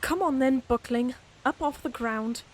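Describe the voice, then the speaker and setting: A woman's voice: sits high, measured pace, a little mellow, a little hiss or hum. A woman playing a proud, teasing young lady knight in a fantasy audio roleplay, with formal, old-fashioned, dryly haughty phrasing. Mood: flustered, teasing. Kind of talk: fantasy audio roleplay acting addressed to the listener.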